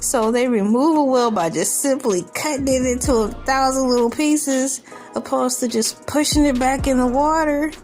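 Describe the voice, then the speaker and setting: A woman reading news aloud as a humorous online creator, dropping in sarcastic, joking asides with theatrical, drawn-out emphasis. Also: high-pitched, a little mellow. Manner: sarcastic, joking asides between read lines. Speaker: online video creator reacting to a news article. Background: background music.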